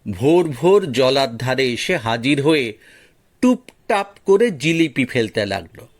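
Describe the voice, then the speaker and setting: A man narrating a folk tale as a storyteller, in a dramatized audio story style. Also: fairly high pitch.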